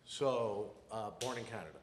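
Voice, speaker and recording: A man's voice: on the low side, normal speed, a little hiss or hum. A man hosting a casual sports interview with informal, hesitant phrasing. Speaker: podcast host, interviewer. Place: indoor lounge podcast set. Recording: echoey, reverberant room.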